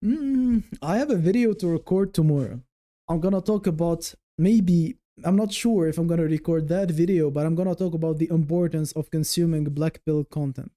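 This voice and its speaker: Guy with a super dark mellow voice rambling on his livestream, thinking out loud. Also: on the high side, moderate pace. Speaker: livestream host. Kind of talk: casual livestream chat with viewers.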